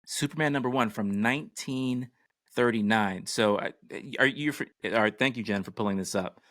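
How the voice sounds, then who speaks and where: A man's voice: on the low side, moderate pace, fairly bright tone, no room sound, clean studio sound. A man hosting a podcast, chatting casually and introducing topics. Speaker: podcast host.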